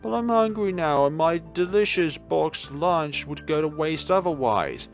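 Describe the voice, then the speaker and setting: A man voicing a blunt, curious teenage schoolgirl who talks in deadpan, rambling musings in a visual novel. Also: a little high, unhurried, faint music behind, pitched above the performer's own voice.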